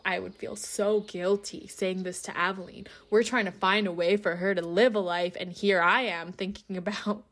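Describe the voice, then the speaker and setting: A woman's voice: fairly low pitch, a bit bright. A woman playing a despairing, short-tempered lone-wolf werewolf in an audio drama, weary and wry, sometimes dropping to near-whispers. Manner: sardonic, swearing, wry laughs. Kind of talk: naturalistic audio drama acting.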